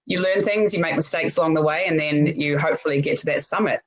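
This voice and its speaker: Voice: mid-range voice, fast, a loud crowd, rough recording. A host with a New Zealand accent, chatting casually in a live interview and filling gaps with "um" and "look". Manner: chatty, many fillers like "um" and "look". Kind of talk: casual interview over a live video call.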